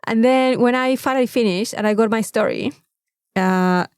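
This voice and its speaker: A woman with a Mexican accent telling an anecdote in a casual, animated podcast chat, swearing freely. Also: on the high side, moderate pace, clear, resonant tone, no echo, studio quality. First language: Spanish. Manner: animated storytelling with frequent swearing. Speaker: podcast co-host.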